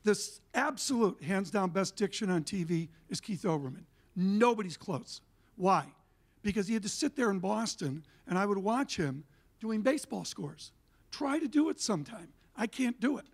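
Animated older man, high voice. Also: moderate pace. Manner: animated, emphatic, gesturing. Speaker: panelist, TV and media figure. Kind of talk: conference panel talk. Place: conference stage, indoors. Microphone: handheld mic.

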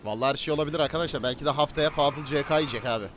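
A man's voice: fairly high pitch, very fast, a bit bright, slight background noise. A man with a Turkish accent streaming online roleplay, bossy, slangy and combative as he commentates and talks to players. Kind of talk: live gaming commentary and in-game roleplay chat.

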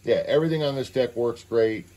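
A man's voice: medium pitch, brisk, mellow, low-quality recording. A man presenting a casual, unscripted product demonstration, talking as he goes. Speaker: presenter demonstrating audio equipment. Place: indoor room at home, beside a tape deck.

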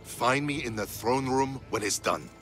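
An actor playing an earnest, loyal Spartan officer in a video game, respectful and persuasive. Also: male voice, mid-range voice, a little slow, fairly bright tone, lightly acted. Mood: confiding. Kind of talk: dramatic video game acting. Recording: music behind dialogue.

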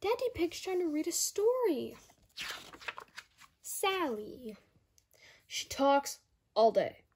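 A woman's voice: high-pitched, big pitch swings, slow, long pauses, a little mellow, a little hiss or hum. A young girl reading a children's picture book aloud, careful and word by word, with little asides to listeners. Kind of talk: read-aloud storybook narration with asides. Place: indoor room at home.

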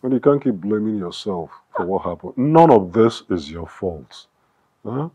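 An actor playing a husband gently pleading with his weeping wife to calm down. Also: on the low side, a little slow, slightly rough, highs cut off. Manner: soothing, pleading, gentle hums. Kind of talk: film drama acting.

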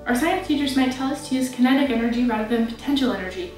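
High-voiced young woman, very echoey. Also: very fast, darkish tone, faint music behind. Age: young adult. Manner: motivational, emphatic. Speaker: graduating student speaker. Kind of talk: prepared commencement speech. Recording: very echoey room.

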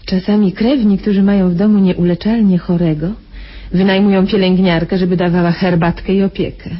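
An actress playing a cunning, cold-blooded blackmailing nurse in a radio play, speaking with sly, mock-sweet insinuation. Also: female voice, mid-range voice, steady tone, fairly quick, close to an everyday voice. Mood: coolly menacing. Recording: muffled, noisy old radio play recording.

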